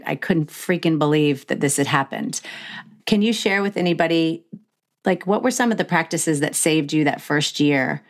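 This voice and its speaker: A middle-aged woman hosting a candid conversational interview, speaking frankly and emphatically with the odd mild swear. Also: deep voice. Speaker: podcast host.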